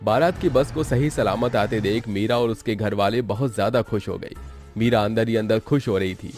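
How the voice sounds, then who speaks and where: A man's voice: on the low side, moderate pace, darkish tone. Male horror cartoon narrator over music. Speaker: story narrator. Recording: music bed under the voice.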